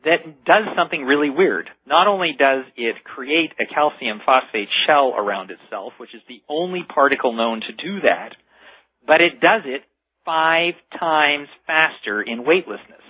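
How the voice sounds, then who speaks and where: Male radio interview guest, husky and emphatic, explains like a storyteller; dry, muffled lo-fi broadcast. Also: on the high side, expressive, measured pace, sharp tone, no room sound. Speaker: guest expert and author. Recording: dull lo-fi radio sound.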